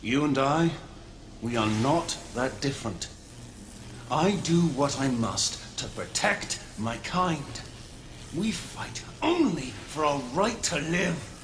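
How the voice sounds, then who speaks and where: A man playing a calm, self-righteous villain in a video game cutscene, speaking in a measured, sinister way. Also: a little high, noisy background. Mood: solemn menace. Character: calm, sinister villain justifying himself to his brother.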